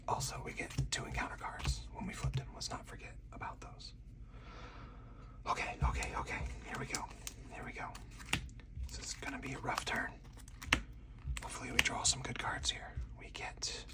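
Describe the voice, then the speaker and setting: A man speaking in a hushed ASMR whisper, thinking aloud as he talks through each move of a solo card game. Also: moderate pace, long pauses, distant mic.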